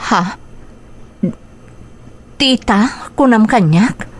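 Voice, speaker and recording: A woman's voice: on the high side, animated, very slow, faint music behind. A woman playing a hurt, confused mother in a melodramatic radio drama, with an Ilocano accent. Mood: hurt, bewildered. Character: hurt, confused young mother rejected by her own child.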